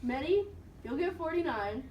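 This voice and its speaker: A woman's voice: sits high, animated, normal speed, dull audio. A woman playing a broke, generous puppet friend in an amateur school puppet show. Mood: friendly, obliging. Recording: echoey room, distant mic, background noise.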